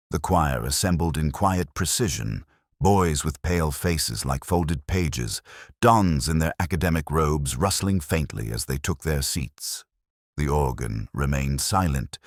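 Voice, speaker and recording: A middle-aged man reading a scripted first-person detective story in a measured, brooding, literary manner. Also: a bass voice, no echo, studio quality.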